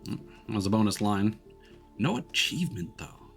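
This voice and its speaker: A man's voice: fairly low pitch, sing-song, normal speed, vocal fry. A man giving casual, wry, joking commentary as he streams a video game. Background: game music.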